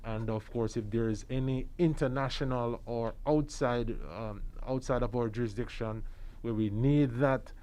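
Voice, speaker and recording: Mellow-voiced Jamaican policeman. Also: medium pitch, steady tone, moderate pace, clear, resonant tone. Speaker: police officer. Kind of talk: formal explanatory statement.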